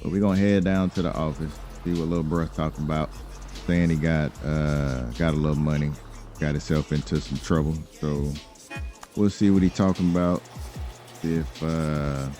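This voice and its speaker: A man's voice: rumbling low, steady tone, normal speed, warm tone, faint music behind. An American man giving casual, chatty gameplay commentary in a slangy, laid-back, rambling way. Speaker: gaming YouTuber hosting a roleplay video.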